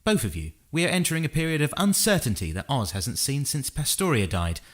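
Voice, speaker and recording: An actor playing a stern, scornful and authoritative city official in a fantasy audio drama. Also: male voice, mid-range voice, big pitch swings, a little fast. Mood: commanding.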